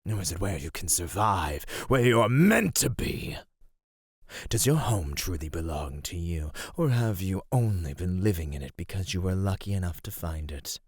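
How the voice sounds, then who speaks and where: A man playing a teasing, condescending serpentine monster predator in a theatrical ASMR roleplay, speaking in a deliberate, menacing way. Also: low voice, a little mellow, slightly rough, dry recording, clean studio sound, heavily acted.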